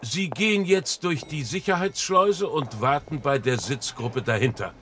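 An actor playing a stern reception official in a radio drama, speaking curtly and officiously. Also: male voice, medium pitch, a little slow, a bit bright, a little hiss or hum, lightly acted. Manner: curt, officious.

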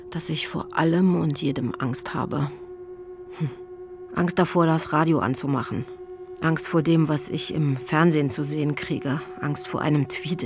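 A woman's voice: low voice, creaky, noisy background. An actress in a radio play voicing a jaded, outspoken woman actress in recovery, blunt, sardonic and confessional. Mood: anxious, confessional. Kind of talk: modern radio play acting.

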